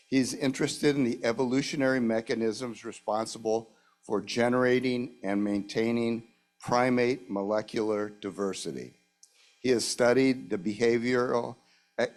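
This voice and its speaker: A man hosts an event and introduces the guest speaker, reading out the speaker's background in a courteous, measured way. His voice sits a little on the low side. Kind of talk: formal introduction.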